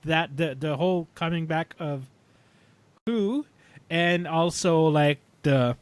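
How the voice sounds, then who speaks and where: A man talking casually as a podcast panelist about sci-fi television, thinking aloud in a halting way. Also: a little high, smooth.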